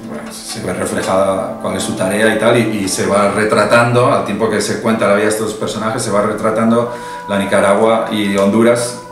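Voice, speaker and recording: A man's voice: on the low side, level tone, fairly quick. Man in his fifties, echoey. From Basque Country, Spain. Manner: thoughtful, many restarts, ends points with tag questions. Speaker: novelist and journalist, interview guest. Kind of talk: interview answers about his novel. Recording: very echoey room, voice from a distance, music behind.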